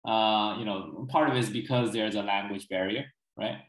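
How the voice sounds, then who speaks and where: A man's voice: fairly low pitch, normal speed, echoey room, poor audio. A man in his thirties, a native Chinese speaker, explaining at length in a casual interview over a video call from a small room, often adding "right?" and "you know". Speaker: guest expert. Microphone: video call, laptop camera.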